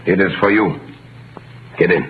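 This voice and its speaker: An actor playing a curt, official gendarme with a terse, authoritative manner in an old radio drama. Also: male voice, deep voice, fairly even intonation, a little slow. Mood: curt, impassive. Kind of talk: 1930s radio drama acting. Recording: muffled vintage radio, very loud noise.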